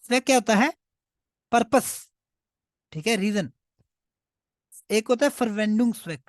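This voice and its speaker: A man's voice: high-pitched, expressive, slow pace, no room sound, clean studio sound. A man whose first language is Punjabi, teaching German to beginners in a patient way and checking often that the class follows. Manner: patient, repeats "ठीक है" often. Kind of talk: online class teaching in Hindi-Urdu.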